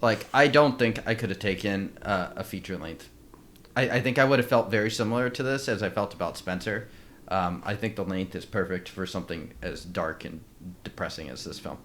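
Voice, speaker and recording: A man's voice: on the low side, brisk, fairly bright tone, a little hiss or hum. A man co-hosting a film podcast, giving his opinions in a casual, hesitant, informal way.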